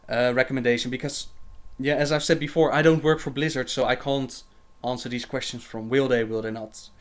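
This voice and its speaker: A young man hosting a casual livestream Q&A, reading viewer questions aloud and answering them chattily with fillers and laughs. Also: mid-range voice.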